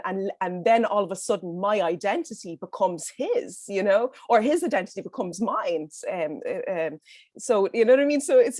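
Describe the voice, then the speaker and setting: A woman's voice: medium pitch, darkish tone, no echo, clean studio sound. Dublin woman talking earnestly on a panel. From Ireland. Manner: earnest, with many fillers and restarts. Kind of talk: online panel talk. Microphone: video call.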